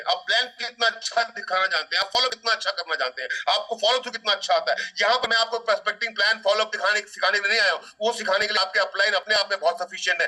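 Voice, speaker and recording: Bright, high-voiced motivational man, far off mic. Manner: rousing, uses battle metaphors, mimics whiny excuses. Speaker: network marketing trainer. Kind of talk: motivational training talk.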